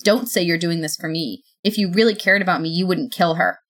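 A woman voicing a tall, brash young woman yelling in screechy, angry bursts. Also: lowish voice, brisk. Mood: pleading, defiant.

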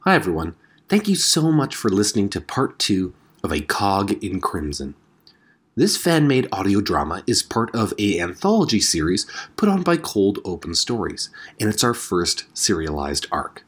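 A man's voice: fairly low pitch, huge pitch swings, normal speed, breathy edge. A man hosting an audio drama series, reading a scripted announcement addressed to listeners. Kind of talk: scripted outro announcement, community invitation.

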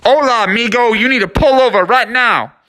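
A man's voice: a little high, fairly quick, clear, resonant tone, lightly acted. A man voicing a friendly, easygoing male police officer with a playful mock Spanish greeting.

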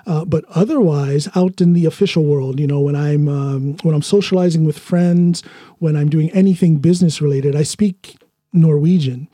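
A man's voice: a little high, moderate pace, mellow, dry recording, clean studio sound. An American man hosting a podcast, chatting casually and bluntly, often laughing as he talks. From United States. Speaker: podcast host.

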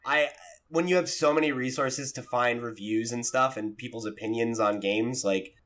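A man's voice: medium pitch, normal speed, a bit bright. A man hosting a podcast, reasoning aloud in casual, informal discussion. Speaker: podcast host.

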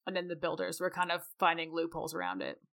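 A woman's voice: lowish voice, fairly even intonation, fast, fairly bright tone. A woman with a Canadian accent co-hosting a podcast, explaining things in a casual, conversational way. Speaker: podcast co-host; mechanical engineer.

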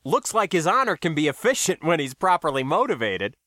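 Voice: mid-range voice, very animated, a little fast, sharp tone, no echo, clean studio sound, voice pushed up. A storybook narrator voicing a genial, self-satisfied male spacer who talks in a wry, articulate way.

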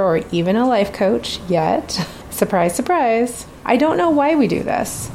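An upbeat woman from Alaska hosts a podcast interview in a mid-pitched voice. She is bubbly and chatty. A faint hum of room ambience sits under her. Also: creaky, darkish tone. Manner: upbeat and bubbly, laughs often. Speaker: podcast host. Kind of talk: scripted intro, then casual interview chat.

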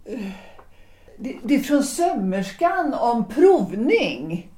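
An actress playing a composed, quietly ironic wife in a period audio drama, speaking in a measured, restrained way. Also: female voice, on the high side, big pitch swings. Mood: guarded. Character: composed, quietly ironic wife, former opera singer, 1906. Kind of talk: period stage-play audio drama acting. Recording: roomy echo.

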